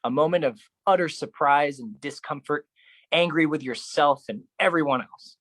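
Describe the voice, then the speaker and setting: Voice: moderate pace, fairly bright tone, dry recording. A solo performer delivering a dramatic spoken-word monologue in an intense, theatrical manner. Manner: theatrical, intense, swinging between low musing and raised outbursts.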